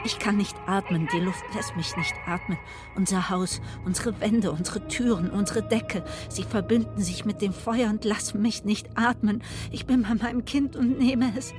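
A woman's voice: medium pitch, moderate pace, over music, lightly acted. An actress playing a desperate mother trapped in a burning house, speaking in breathless, urgent, repetitive monologue for a radio drama. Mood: frantic, desperate.

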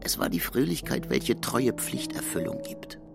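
A man with a gravelly, husky voice narrating a literary audio drama. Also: on the low side, very animated, normal speed, music in the background.

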